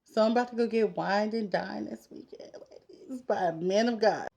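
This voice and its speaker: A woman's voice: mid-range voice, normal speed, gravelly, a little mellow, breathy edge. Candid woman in her thirties, casual vlogger. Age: 30s. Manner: candid, conversational, talking straight to women viewers. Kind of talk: casual confessional chat while getting ready.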